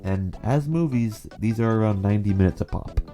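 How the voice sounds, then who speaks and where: A man with a fairly low voice delivers scripted video essay commentary. He is opinionated and sarcastic, and music plays behind him. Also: brisk, mellow.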